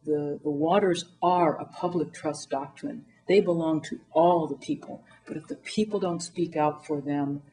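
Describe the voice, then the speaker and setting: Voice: medium pitch, fairly even intonation, normal speed, hardly any pauses, darkish tone, rough recording. A community organizer talking in an earnest way with fillers in an interview. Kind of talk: casual interview talk.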